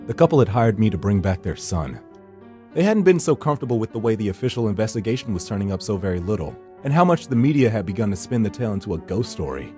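A man's voice: fairly low pitch, very animated, fast, a little mellow. A man voicing a wry, secretive supernatural finder who narrates a horror visual novel with dry, theatrical sarcasm. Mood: wry, brooding. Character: wry, secretive male hired finder from the edge of death. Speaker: narrator and lead character. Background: background music.

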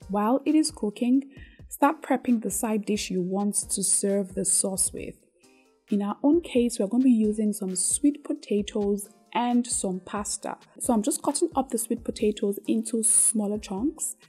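Woman presenting recipes, mellow slightly husky voice. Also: medium pitch, a little slow, soft background music. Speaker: cooking show host. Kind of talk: scripted cooking tutorial narration.

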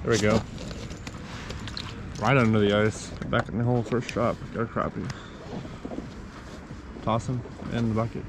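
Low-voiced man over loud outdoor noise. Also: level tone, normal speed, long pauses. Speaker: host of a fishing channel. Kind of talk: casual on-the-spot fishing commentary. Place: outdoors on a frozen lake while ice fishing. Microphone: action camera worn on the body.

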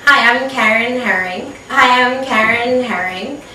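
A woman's voice: fairly high pitch, measured pace, bright, forceful tone. A young woman guest hosting, chatting casually. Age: young adult. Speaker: guest host. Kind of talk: casual chat. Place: small indoor living room. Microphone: far camera. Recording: distant mic, echoey room, faint hiss.

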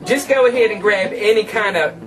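A man's voice: high voice, a little fast, lightly acted. A man playing a campy, thrifty trailer park queen, giving a folksy, tongue-in-cheek home cooking demonstration. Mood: folksy, cheerful instructing. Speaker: cooking show host. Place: small home kitchen. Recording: distant camera microphone, music behind.

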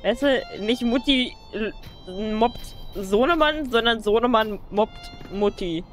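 A woman's voice: fairly high pitch, slow pace, faint music behind. A young woman, a streamer teasing her co-op partner in casual gaming chat, laughing often.

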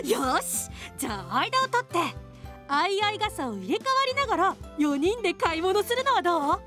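Casting: breezy little-sister warrior for game radio drama; high, animated, cheerful, independent. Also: female voice, normal speed, soft background music, exaggerated voice. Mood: breezy grateful. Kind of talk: Japanese anime game radio drama acting.

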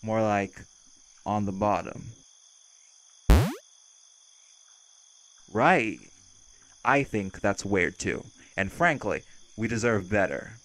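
A man's voice: lowish voice, slow, fairly bright tone, a little hiss or hum, rough recording. A man playing a cheerful, cocky vampire who teases, flirts and casually threatens in an intimate audio roleplay. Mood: conspiratorial.

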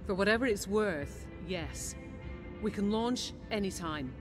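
An actress voicing a steady, loyal female officer in a video game, giving briefings in a measured, serious way. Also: medium pitch, close to an everyday voice. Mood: businesslike. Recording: music behind the voice.

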